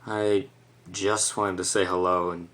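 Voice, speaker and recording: A man's voice: low voice, level tone, unhurried, a bit bright. A young man playing an awkward, sweet teenage boy in an amateur drama, hesitant and self-conscious. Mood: awkward, sad. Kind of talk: amateur stage drama monologue.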